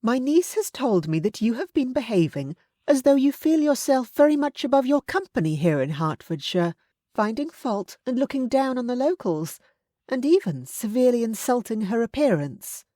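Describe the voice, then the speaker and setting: A woman's voice: fairly high pitch, big pitch swings, normal speed, warm tone, no echo, studio quality, voice pushed up. A woman reading aloud voices a forthright middle-aged aunt, pointed and scolding in a raised voice.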